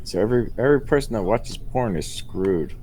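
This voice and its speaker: Wisecracking male co-host, deep and very expressive, casual banter with slight background noise. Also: fairly quick, low-quality recording. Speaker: reaction video co-host.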